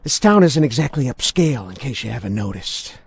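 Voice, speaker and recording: A man's voice: mid-range voice, very animated, fairly quick, creaky, slightly rough, heavily acted. Menacing, volatile policeman. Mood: simmering contempt. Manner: mocking, theatrical, swinging from soft to shouting. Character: menacing, unhinged veteran policeman bullying an old suspect. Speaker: voice actor in a video game. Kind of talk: dramatic video game cutscene acting.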